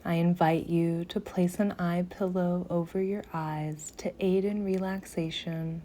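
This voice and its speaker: A woman guiding a meditation in a soothing, gentle manner, softly breathy at phrase ends, with long pauses between short phrases. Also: lowish voice, steady tone, a little hiss or hum. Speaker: yoga nidra meditation guide. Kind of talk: slow guided meditation.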